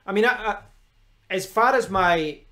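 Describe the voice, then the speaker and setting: A young man with a strong Glaswegian Scottish accent, talking casually from his kitchen. His voice sits a little high, and he rambles with many restarts and asides to his viewers. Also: measured pace, pushed and bright. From Scotland. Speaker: live streamer. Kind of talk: casual rambling live stream chat. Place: kitchen at home.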